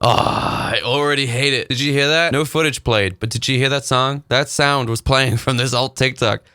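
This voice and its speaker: A man rants casually from his desk, blunt and sarcastic, his voice sitting at an ordinary middle pitch. Clear music plays steadily underneath him. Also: moderate pace, sharp tone, no echo. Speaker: YouTube reaction host. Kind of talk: casual ranting commentary.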